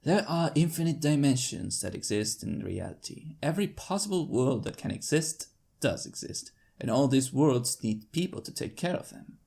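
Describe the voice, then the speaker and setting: A storyteller reading first-person fiction aloud. The voice is husky and creaky at a middle pitch, and it moves freely up and down as each phrase is placed with deliberate drama. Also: expressive, a little mellow. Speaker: fanfiction narrator. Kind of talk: scripted first-person audiobook narration in character.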